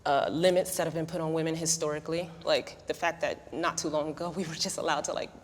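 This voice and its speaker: A woman who writes poetry, speaking in an animated, conversational way during a debate. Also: low voice, fast talker, long reverb tail, slight background noise. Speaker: writer and poet, debate participant. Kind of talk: casual debate.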